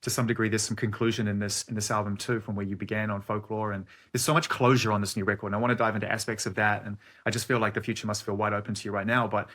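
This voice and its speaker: A man's voice: fairly low pitch, little pitch movement, very fast, hardly any pauses, a bit bright. A man interviewing a musician in a wordy, reflective, conversational way.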